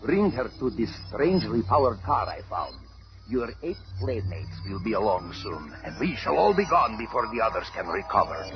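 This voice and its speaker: An actor playing a pompous, gloating mad-scientist villain in a 1970s superhero radio drama. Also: male voice, lowish voice, sing-song, moderate pace. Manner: pompous, menacing. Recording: loud music bed, old radio show.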